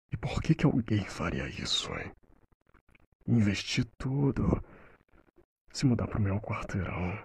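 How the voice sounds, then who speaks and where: A man plays a young Brazilian suspect in a dubbed drama. His voice is low, very husky and gravelly. He sounds defensive and anguished, speaking in intense, halting phrases. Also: a little mellow, heavily acted. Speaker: fandub voice actor. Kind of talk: dramatic film dubbing.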